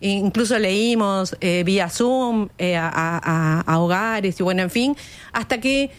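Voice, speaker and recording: A woman's voice: mid-range voice, a little slow. A woman, a guest on a radio interview, eagerly telling how a project began. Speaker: librarian guest.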